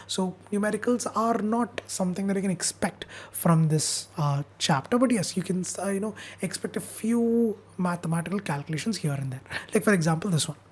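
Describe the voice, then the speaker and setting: A woman's voice: medium pitch, expressive, brisk, few pauses, darkish tone, breathy edge. A young woman, teaching an upbeat exam revision class and coaxing viewers to answer in the chat, while she is losing her voice. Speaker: online tutor.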